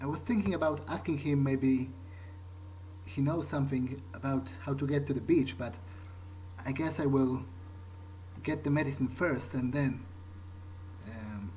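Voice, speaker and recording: A man's voice: medium pitch, measured pace, pauses often, warm tone, poor audio. A man improvising in character during a game playthrough, hesitant, thinking aloud and repeating words. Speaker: gameplay host, role-playing his character. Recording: clear background noise.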